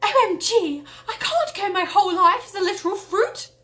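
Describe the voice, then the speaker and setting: A woman's voice: very high voice, lively intonation, normal speed, pushed and bright, voice pushed up, heavily acted. A woman voicing a gullible, panicky young girl in a story, wailing and overdramatic. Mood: panicked wailing.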